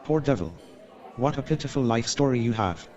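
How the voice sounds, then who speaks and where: A man's voice: medium pitch, animated, fairly quick, darkish tone, highs cut off, close to an everyday voice. An actor playing a friendly, chatty young Victorian man in a comic staged radio play. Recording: crowd murmur behind the voice.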